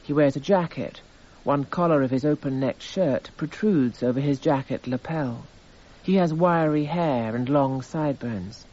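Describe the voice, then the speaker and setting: An actor playing a detached narrator who precisely catalogues evidence in a scripted radio drama. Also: male voice, mid-range voice, measured pace, few pauses, mellow, natural voice. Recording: thin, phone-like narrow band.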